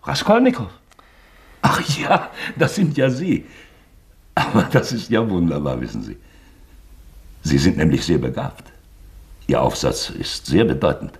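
Sly, creaky-voiced, deep investigator. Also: male voice, sing-song, measured pace, long pauses, full character voice. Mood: feigned wonder, insinuating. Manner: mock-forgetful, hesitant, probing politeness. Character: sly, falsely genial male investigator toying with suspect. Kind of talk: German radio play acting.

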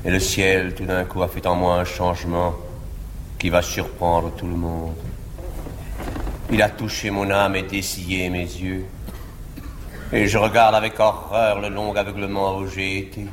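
An actor playing a cold, arrogant nobleman libertine in a measured, declamatory classical French stage style. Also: sits low, little pitch movement. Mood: feigned piety, solemn. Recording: reverberant 1950s live theatre recording, faint noise.

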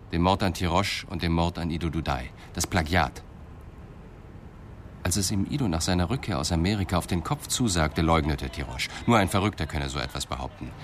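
An actor playing a calm police detective confronting a suspect in a radio play, measured, probing and restrained. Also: male voice, deep voice, slight background noise. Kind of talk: 1990s German radio play acting.

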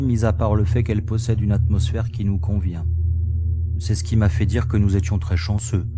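A man's voice: lowish voice, noisy background, close to an everyday voice. A man playing a wry, explanatory spaceship crewman in a science-fiction audio drama. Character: male spaceship crewman, relieved, informative, wry.

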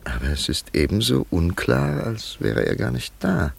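Low, gravelly, probing rational scholar. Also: male voice, wildly swooping pitch, exaggerated voice. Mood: puzzled, reasoning. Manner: formal, probing, measured. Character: earnest, rational man, an inquiring skeptical scholar. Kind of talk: German radio play acting.

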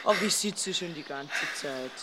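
A woman's voice: sits low, lively intonation, normal speed, noisy background. An actress playing an unruffled, odd woman who lives in the wilds, in a radio play. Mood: calm, friendly.

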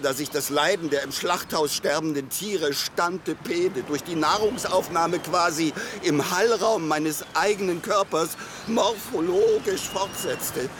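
An exalted, hypochondriac musician of florid, pompous speech, acted by a man for a radio play. The voice is high-pitched, set in clearly noisy outdoor ambience with vehicles. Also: measured pace, natural voice. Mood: earnest, exalted. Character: exalted, hypochondriac male Berlin musician, eager vegetarian convert. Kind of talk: German radio drama acting.

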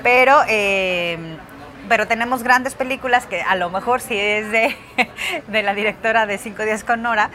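A woman's voice: fairly high pitch, moderate pace, bright, forceful tone. A woman with a Mexican accent, co-hosting a podcast in casual chat, rambling and restarting her sentences as she recommends films. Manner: chatty, rambling, with many restarts and fillers.

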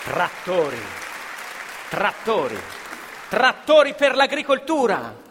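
A man's voice: huge pitch swings, takes it slowly, a crowd in the background, noisy background. A man telling a story as dramatic narrative theatre, emphatic and repeating phrases for effect. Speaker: stage storyteller and actor. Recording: live music playing behind the voice. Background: live violin, piano and double bass music.

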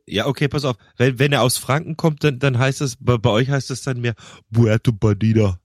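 Husky guy hosting a podcast, laughs a lot, trips over words, super dry close mic. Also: mid-range voice, fairly even intonation, a little fast, darkish tone, no echo. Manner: laughs often, stumbles and repeats words. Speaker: podcast host. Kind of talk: casual chat.

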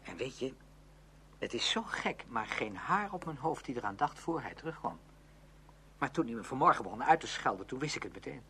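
Bright-voiced, headstrong young student. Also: male voice, mid-range voice, big pitch swings, fairly quick. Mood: resolved. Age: young adult. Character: headstrong, outspoken young male music student. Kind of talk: Dutch radio play acting. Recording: noisy old radio drama recording.

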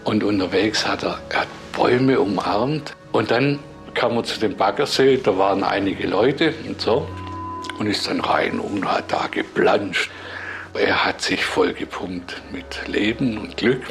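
Guy telling a story in an interview, deep gravelly voice, music behind. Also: unhurried, a bit bright.

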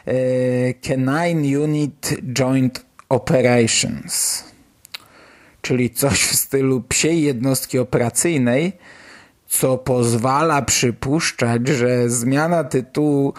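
A man presenting news on a podcast in a colloquial, enthusiastic way, as its host. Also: medium pitch. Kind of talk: casual news commentary on a podcast.

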